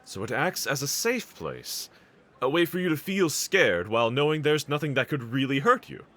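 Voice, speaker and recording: A man's voice: medium pitch, expressive, moderate pace, fairly bright tone, close to an everyday voice. A man playing a bold, earnest, reassuring demon slayer swordsman in an anime audio roleplay, speaking with formal, courteous diction. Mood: curious, puzzled.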